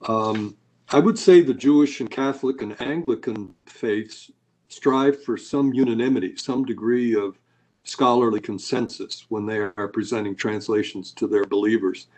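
A man's voice: lowish voice, moderate pace, a little mellow, low-quality recording. A man answering interview questions as a scholar, lecturing with many hesitations and uhs. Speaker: Bible scholar guest.

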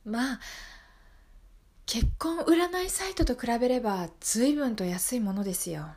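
Woman doing a sly fortune teller, soft measured soothing sales patter, calm, radio play. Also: fairly high pitch. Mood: smooth, businesslike. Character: calm, sly fortune teller selling costly marriage readings. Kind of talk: improvised radio drama acting.

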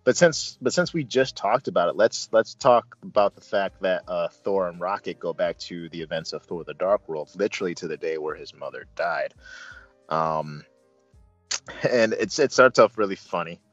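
A man talks through a casual film review as host, halting and rambling, with bursts of emphasis. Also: low voice, animated, no room sound, muffled recording, faint music behind. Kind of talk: casual movie review discussion.

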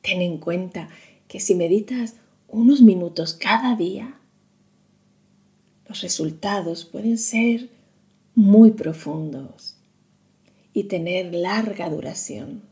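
Very slow, soft-toned woman guiding meditation. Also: medium pitch. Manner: soothing, hushed, with long pauses. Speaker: meditation guide. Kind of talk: slow guided meditation narration.

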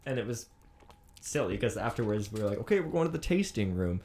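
A man's voice: on the low side, quick pace. A man hosting a casual chat over drinks, joking and telling anecdotes. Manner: animated, joking, tells anecdotes.

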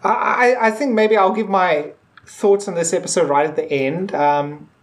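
A man co-hosting a podcast, chatting casually and haltingly, repeating words as he forms his thoughts. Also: high-pitched. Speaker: podcast co-host. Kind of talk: casual episode discussion.

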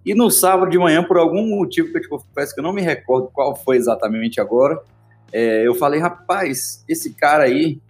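A man's voice: a little high, a little fast, faint music behind. A man from Salvador with a Bahian Brazilian accent, a podcast guest telling his story in a friendly, rambling way with many fillers. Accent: Bahian Brazilian Portuguese. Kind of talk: casual storytelling interview.

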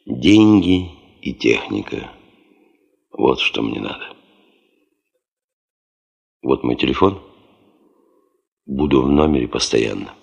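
Низкоголосого, напористого начальника-геолога. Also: male voice, very deep voice, lively intonation, takes it slowly, vocal fry, long pauses, darkish tone, lo-fi sound, full character voice. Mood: confident, determined. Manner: terse, insistent, commanding. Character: driven, stubborn male geologist chief demanding money for gold prospecting. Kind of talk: Soviet radio play acting.

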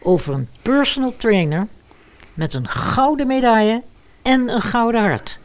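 Woman announcer, unhurried pace, highly varied intonation, theatrical, mock-solemn, scripted intro. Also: very animated. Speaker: host, announcer. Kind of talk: scripted audio drama introduction.

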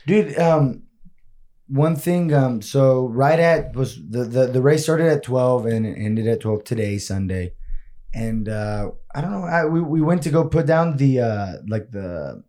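Animated, joking podcast guy. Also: mid-range voice, a little slow. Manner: rambling, joking, laughs often, drops in Spanish phrases; swears freely. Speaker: podcast co-host. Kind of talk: casual chat.

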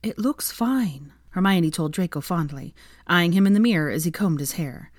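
Female fiction narrator, low register, scripted read, very dry recording. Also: brisk, no room sound.

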